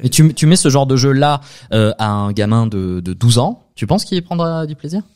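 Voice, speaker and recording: A podcast host with a mellow, darker tone who asks questions in a relaxed, chatty way. His pitch is middling and he reminisces easily with his guests. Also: moderate pace. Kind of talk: casual podcast interview.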